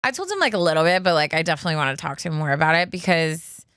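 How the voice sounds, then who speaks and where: Co-host, woman, quick reactive chatter, lowish pitch, dry mic. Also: expressive, fairly bright tone, smooth, no echo. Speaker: podcast co-host. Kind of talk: casual chat.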